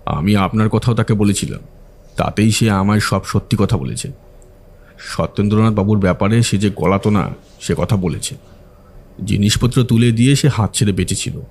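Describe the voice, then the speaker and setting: A man playing a methodical, earnest detective in an audio drama, explaining his reasoning deliberately. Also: lowish voice, darkish tone, slight background noise, close to an everyday voice. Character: methodical male detective reasoning through a case, earnest, analytical. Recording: music behind the voice.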